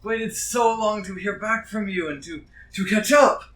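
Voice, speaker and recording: A man's voice: high-pitched, measured pace, fairly bright tone, voice pushed up. A man playing a drunk, clingy, rambling older townsman who pleads and repeats himself. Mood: eager, nostalgic. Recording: roomy distant mic with noise.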